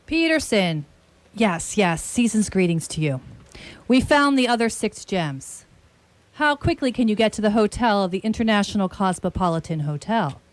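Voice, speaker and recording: A woman playing a commanding, coolly confident great detective in radio theatre, speaking crisply and deliberately. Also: mid-range voice, expressive, no echo, close to an everyday voice. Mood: assured.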